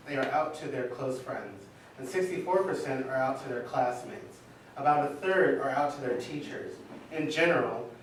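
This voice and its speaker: A man's voice: mid-range voice, lightly acted. A man reading scripted, factual narration in a live staged reading. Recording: echoey, noisy hall from far off.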